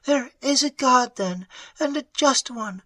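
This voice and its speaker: A woman's voice: mid-range voice, lively intonation, unhurried, no echo. A woman voicing a starving young woman held captive in a dungeon, speaking weakly and pleadingly in a dramatized audiobook. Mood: frantic joy. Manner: pleading, weak, then frantic with hope.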